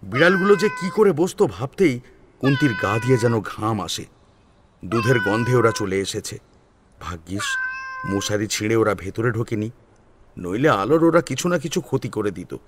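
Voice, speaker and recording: A man narrating a story as a dramatic audio storyteller, in an expressive, suspenseful manner. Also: fairly low pitch, moderate pace, background noise.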